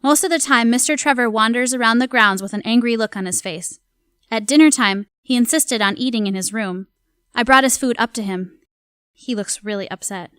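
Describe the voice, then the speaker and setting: A woman's voice: fairly high pitch, fairly quick, fairly bright tone, smooth, no echo, clean studio sound, close to an everyday voice. A woman playing a timid, kind-hearted household servant, reading her journal in an audio drama in a simple, confiding way. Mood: uneasy.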